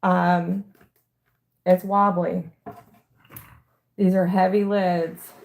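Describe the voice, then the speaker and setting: A middle-aged woman with a gravelly, slightly hoarse voice shows a craft project on a live stream. She talks slowly and rambles through each step. Her voice sits low and hardly rises or falls. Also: flat delivery, a little mellow. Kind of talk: casual live craft demonstration.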